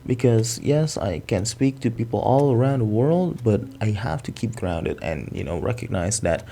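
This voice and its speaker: Animated young man with gravelly voice. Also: on the low side, slight background noise. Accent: American. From Indonesia. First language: Indonesian. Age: young adult. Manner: fast, animated, swearing, rambling. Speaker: vlogger. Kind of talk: improvised talk to camera.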